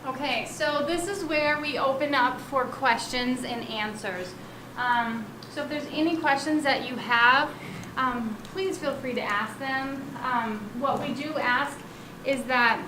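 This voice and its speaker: High-voiced woman moderating on a handheld mic. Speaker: event moderator. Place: meeting room. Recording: echoey room, noisy.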